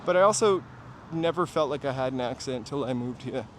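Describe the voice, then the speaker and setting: A man's voice: medium pitch, expressive, brisk. A man talks in a mid-range voice. He rambles casually, like a tour host chatting to live viewers as he walks. Light urban street noise and vehicles sit behind him outdoors. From New Hampshire, USA. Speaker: walking-tour livestream host. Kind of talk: casual chat while walking. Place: outdoors on city streets, walking. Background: traffic and urban ambience.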